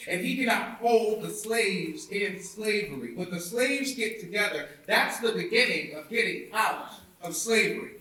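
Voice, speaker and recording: Male orator, high-set voice, emphatic preacher-like delivery, very reverberant indoor theatre sound. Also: lively intonation, unhurried, few pauses, sharp tone. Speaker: reader of a historic speech. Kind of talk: oratorical public speech reading. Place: indoor theatre, recorded from afar. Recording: echoey hall, distant mic.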